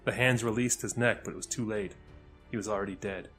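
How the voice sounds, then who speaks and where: A man reading scripted fantasy narration as a storyteller, with dramatic, deliberate delivery. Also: fairly low pitch, steady tone, a bit bright, faint music behind.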